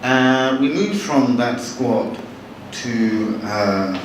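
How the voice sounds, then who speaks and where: Man, novelist, halting and wry; live talk, distant mic, heavy hall echo. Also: mid-range voice. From born in Bangladesh, raised in London. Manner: wry, chatty, halting with frequent restarts. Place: large hall with audience. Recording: echoey hall from far off, noisy.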